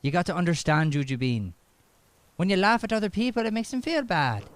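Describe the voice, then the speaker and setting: A man's voice: high voice, lively intonation, fairly quick. A man playing a flustered, scolding Irish schoolteacher in improvised radio comedy, repeating himself and tripping over his words. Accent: Irish, put on. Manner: stammering, repetitive, exasperated. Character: flustered, scolding male schoolteacher, defensive and obsequious.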